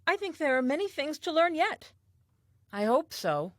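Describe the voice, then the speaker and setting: Casting: actress for wide-eyed, curious first wife in a comic audio play; lively melodic delivery, earnest and dreamy, studio-dry sound. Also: female voice, high-pitched, normal speed, dry recording. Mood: earnest wonder. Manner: earnest, expressive, theatrical. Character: dreamy, curious, wonder-filled first woman Eve.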